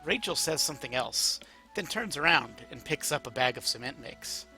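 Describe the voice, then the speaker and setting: A man's voice: medium pitch, expressive, normal speed, bright, forceful tone, soft background music. A man reading a second-person story aloud as an amateur narrator.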